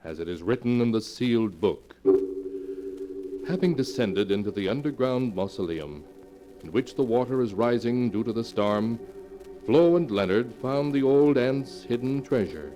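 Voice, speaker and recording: Low, dark-voiced male radio mystery narrator. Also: expressive, unhurried. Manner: solemn, storyteller delivery. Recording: old radio broadcast with music bed. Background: faint music.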